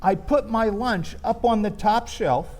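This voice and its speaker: Slow-paced man telling stories in a high voice. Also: a little mellow. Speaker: storyteller. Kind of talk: live storytelling, first-person comic reminiscence.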